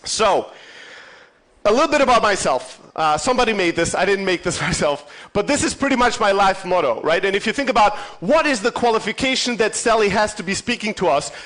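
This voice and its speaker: A man's voice: sits high, expressive, brisk, a bit bright. A man in his thirties from Germany gives an informal motivational conference talk, swearing freely, poking fun at himself and checking in with his listeners. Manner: profane, self-deprecating, checks in with the audience. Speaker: conference keynote speaker.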